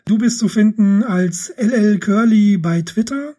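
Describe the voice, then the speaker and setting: A man's voice: high-pitched, a little slow. A man hosting a podcast, chatting casually about football with a guest and wrapping up the show. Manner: relaxed, thanks the guest and wraps up the show. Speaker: podcast host.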